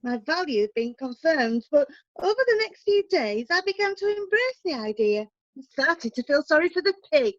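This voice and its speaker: A put-upon wife voiced by a woman in an old-time courtroom audio drama, her high voice plaintive and earnest. The recording is dry and a little dull. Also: big pitch swings, moderate pace, no room sound. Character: put-upon wife, meek then defiant. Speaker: witness.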